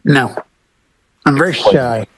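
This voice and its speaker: A man's voice: mid-range voice, slow, dry recording. A man chatting casually and jokily as a DJ on a panel. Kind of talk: casual, joking chat.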